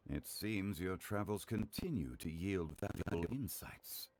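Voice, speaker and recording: A man playing a refined, courteous, wise gentleman in a video game, speaking formally and eloquently with composure. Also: deep voice, unhurried.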